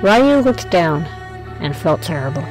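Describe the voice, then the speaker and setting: A woman's voice: fairly low pitch, sing-song, a little slow, darkish tone, soft background music, poor audio. A woman narrating a scripted audio drama as its storyteller.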